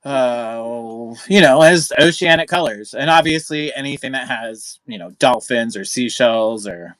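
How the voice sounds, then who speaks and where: A woman's voice: rumbling low, expressive, measured pace, no room sound. A middle-aged woman co-hosting a casual livestream, rambling and often interrupting herself as she talks. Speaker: co-host of a vintage seller livestream. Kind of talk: casual unscripted livestream chat.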